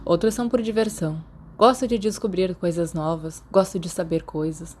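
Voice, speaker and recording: A man's voice: sits high, fairly even intonation, normal speed. A woman voicing a guarded, self-conscious, bookish teenage schoolboy in an audiobook story, reserved and earnest.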